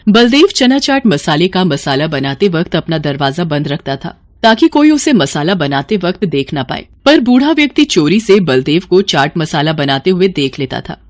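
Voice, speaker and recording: A woman's voice: on the low side, very animated, moderate pace, hardly any pauses, a little mellow, dry recording. A woman reading scripted moral-story narration as the storyteller.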